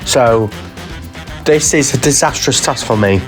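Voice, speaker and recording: A man's voice: mid-range voice, a little slow, soft background music. A man contestant in a podcast game, chatting casually and swearing as he puts himself down. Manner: self-deprecating, sweary. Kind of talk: casual chat.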